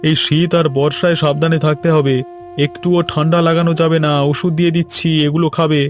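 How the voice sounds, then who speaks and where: A man's voice: on the high side, flat delivery, brisk, faint music behind, lightly acted. A man voicing a cautioning, matter-of-fact village doctor in a cartoon. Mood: urgent, instructive. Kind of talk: cartoon voice acting.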